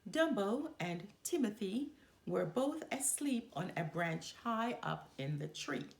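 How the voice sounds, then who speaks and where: A woman reading a children's story aloud as a storyteller, with expressive, deliberate delivery. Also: medium pitch, gravelly. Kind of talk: expressive read-aloud narration.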